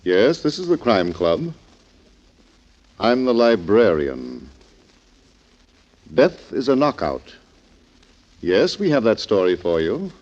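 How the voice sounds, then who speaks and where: Suave male mystery-club librarian, 1940s radio drama: theatrical, inviting narration with big swoops in pitch. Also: very animated, takes it slowly, pauses often, a little mellow, heavily acted. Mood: inviting, ominous. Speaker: host and narrator. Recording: dull, noisy 1940s radio recording.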